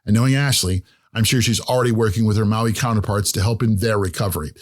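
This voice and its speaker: A man hosting an interview show, reading a scripted intro and then asking questions in a measured, friendly way. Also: on the low side. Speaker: podcast host.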